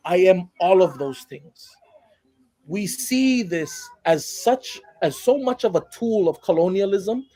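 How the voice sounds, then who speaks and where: A man from Hawaii talks as a guest on a live online panel, his voice sitting a touch high for a man. He speaks earnestly and leans into passionate emphasis as his points build. He sounds like he is on a home setup over a video call. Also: expressive, takes it slowly, mellow, rough recording.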